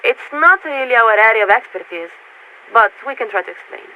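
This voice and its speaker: A woman playing a polite, formal military radio signaler in a video game, speaking in a measured, patient, officious way through a radio filter. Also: on the high side, lively intonation. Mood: patient explaining. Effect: radio transmission filter. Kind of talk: scripted game voice acting. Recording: tinny narrow-band radio with static.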